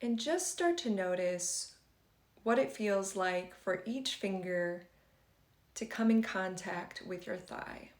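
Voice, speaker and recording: A woman leading a guided meditation for beginners in a gentle, conversational and reassuring way. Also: medium pitch, slow. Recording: roomy, echoing sound.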